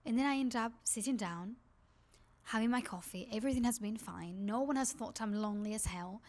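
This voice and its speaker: A woman's voice: on the high side, a little fast, darkish tone. A woman with a Spanish accent, a native Spanish speaker from Spain, vlogging in a rambling, confiding chat to camera. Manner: rambling, confiding, heavy use of "like".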